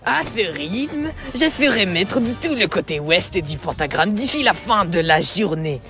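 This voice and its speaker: A boastful demon warlord with machines. He declaims slowly and grandly, savoring every brag. Music plays clearly behind him. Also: high-pitched, big pitch swings, sharp tone, exaggerated voice. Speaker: fan dub actor.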